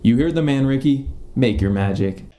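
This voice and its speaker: A young man playing an officious, deadpan police detective in a comedy radio play. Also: medium pitch, normal speed, lightly acted. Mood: deadpan, stern. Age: young adult. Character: deadpan, officious male detective interrogating a suspect.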